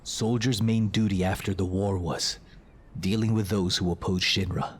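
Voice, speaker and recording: An actor playing a reserved young former soldier in a video game, recounting things in a subdued, hesitant way. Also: male voice, deep voice, normal speed, slightly rough. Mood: reflective, subdued. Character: reserved, brooding young ex-soldier man telling his past. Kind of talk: video game character narration.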